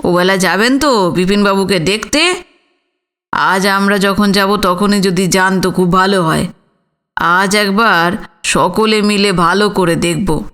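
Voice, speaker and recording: A woman's voice: medium pitch, slow pace, fairly bright tone, clean tone, pitched below the performer's own voice. A woman voicing an earnest, respectful man delivering news in an audio story. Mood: earnest, encouraging.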